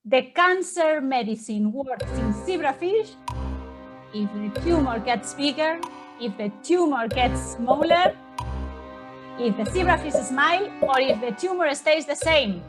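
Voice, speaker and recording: A woman with a very high voice hosts an online science session for schoolchildren. She talks slowly and animatedly, with faint music playing behind her. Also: lively intonation. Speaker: moderator of an online school talk. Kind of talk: lively quiz hosting for children.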